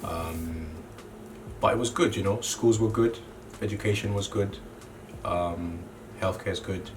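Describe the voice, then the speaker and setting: Low-voiced Zambian-raised man, flat delivery. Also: a little slow. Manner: relaxed, with frequent "you know" fillers. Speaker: clothing brand co-founder. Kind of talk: casual interview monologue. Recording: distant mic with clear background noise.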